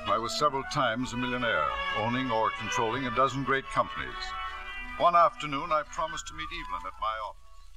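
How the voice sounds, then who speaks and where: An actor playing a ruthless self-made millionaire father, narrating and acting in a 1940s radio drama with a crisp, sardonic delivery. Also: mid-range voice, a little fast, over music, lightly acted, low-quality recording. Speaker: narrator and lead character. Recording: dry old radio broadcast.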